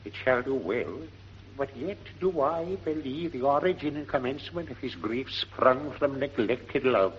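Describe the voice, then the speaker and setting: An actor playing a fussy, deferential, scheming old courtier in 1940s British radio Shakespeare, raspy, with Received Pronunciation. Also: male voice, medium pitch, lively intonation, unhurried, slight background noise, natural voice. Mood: officious advice. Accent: English Received Pronunciation. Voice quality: very husky, raspy. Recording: dull 1940s radio recording.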